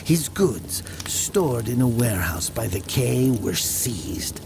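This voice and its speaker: An actor playing a brooding murderer who narrates his own dark fable in a measured, dramatic manner. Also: mid-range voice, sing-song, creaky, background noise, full character voice. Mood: somber, haunted. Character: brooding male village murderer recounting his dark tale.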